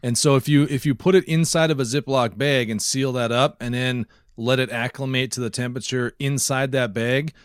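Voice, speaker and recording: A man talks casually as a podcast co-host in a close, dry recording. His voice sits at a medium pitch, and he jokes along, often laughing through his sentences. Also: fairly even intonation, a little fast, hardly any pauses, clean tone, dry recording. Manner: jokey, laughs often while talking.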